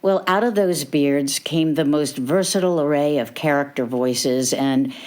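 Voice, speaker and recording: An older woman reading out a personal memory in a fond, measured storytelling way. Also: low voice, a little mellow. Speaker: guest reader.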